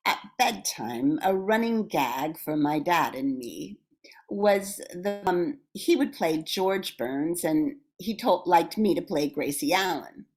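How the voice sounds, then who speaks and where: An older woman reading a scripted personal reminiscence as a member of a radio show cast. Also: low-pitched.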